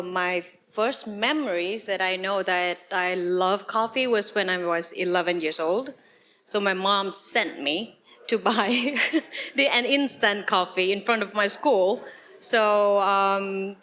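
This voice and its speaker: A woman's voice: mid-range voice, takes it slowly, pushed and bright. A woman with a Thai accent, a guest on a panel, telling a personal story in a casual, chatty way. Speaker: panel guest, general manager.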